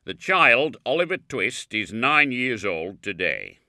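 A man's voice: mid-range voice, big pitch swings, slow pace, sharp tone, no room sound, clean studio sound, pitched above the performer's own voice, exaggerated voice. A man voicing a pompous, self-important middle-aged parish beadle who speaks in a majestic, overbearing way.

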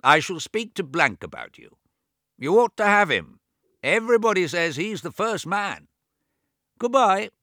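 A man's voice: sits high, big pitch swings, measured pace, pauses often, pushed and bright, dry recording, clean studio sound, voice pushed up, full character voice. A man reading aloud voices a deaf, fretful old man in his late seventies who nags and repeats himself about money. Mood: nagging. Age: over 70. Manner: fretful, repetitive, nagging.

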